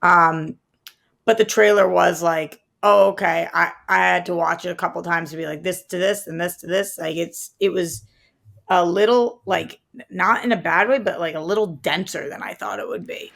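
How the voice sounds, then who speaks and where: A woman co-hosting a podcast, chatting informally about movies and pausing as she searches for the right word. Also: fairly low pitch, steady tone, creaky, fairly bright tone. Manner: informal, halting, searches for words. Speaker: podcast co-host reviewing movie trailers. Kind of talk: casual movie chat.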